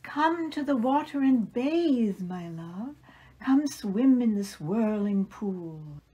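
Eerie female water spirit, voiced by an actress, hypnotic and luring, drawn-out delivery, slightly dull audio play recording. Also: a little high, animated, mellow, breathy edge, heavily acted. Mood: coaxing. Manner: slow, coaxing, hypnotic.

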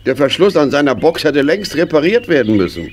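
A man's voice: a little high, sing-song, a little fast. A man playing a hearty, good-natured, middle-aged husband on a farm in a children's radio play, jovial and emphatic. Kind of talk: German children's audio play acting. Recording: noisy vintage audio play recording.

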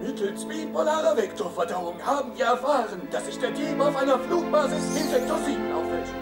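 Game cutscene boss: very high, swooping voice, pompous and muddled, echoey with loud music behind. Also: male voice, animated, fairly quick. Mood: persuasive, pompous. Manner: pompous, bumbling, malapropism-laden. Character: excitable eccentric male corporate boss, mangling big words. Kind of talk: video game cutscene acting in German.

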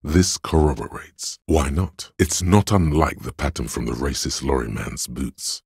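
An actor playing a cool-headed, analytical inner voice of logic in a video game, measured, deliberate and matter-of-fact, with a gravelly, husky bass. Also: male voice, animated, a little mellow, no echo, studio quality, full character voice. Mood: analytical, composed. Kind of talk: scripted game narration as an inner voice.

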